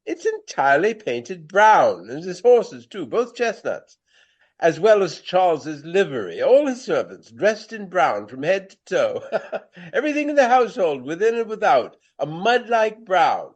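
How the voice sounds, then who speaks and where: An aging Regency actor for a radio play. He is a pompous, nostalgic dandy whose rather high voice swoops theatrically through florid phrases, with grand pauses. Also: sing-song, full character voice. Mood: amused gossip.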